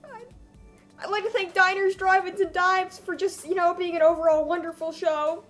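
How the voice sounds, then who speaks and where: A young woman with a high voice reads a story aloud for comic effect. Her delivery is mock-dramatic and self-mocking, dropping into casual asides, with faint music underneath. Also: steady tone, moderate pace, fairly bright tone. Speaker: vlogger reading her own old story aloud.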